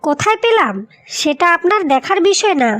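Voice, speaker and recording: A proud, feisty young cartoon wife, acted by a woman, with an extremely high voice. She speaks firmly, as if telling someone off. Music plays underneath. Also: big pitch swings, a little fast, a bit bright, full character voice. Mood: firm, defiant. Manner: firm, scolding. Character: feisty, proud young wife defending her family home. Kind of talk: cartoon dialogue.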